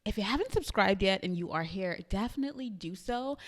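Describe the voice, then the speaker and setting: A woman's voice: mid-range voice, animated, brisk. A woman with a Trinidadian accent talking chattily and eagerly to her viewers in a casual tutorial vlog. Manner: chatty, enthusiastic, addresses viewers directly with frequent laughs. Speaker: YouTube creator.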